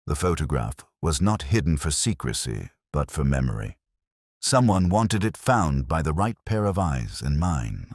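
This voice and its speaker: Very deep man narrating a detective drama. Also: darkish tone, dry recording, studio quality. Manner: literary, measured, reflective, wry. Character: weary, introspective male English police inspector, middle-aged. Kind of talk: scripted first-person detective narration in audio drama.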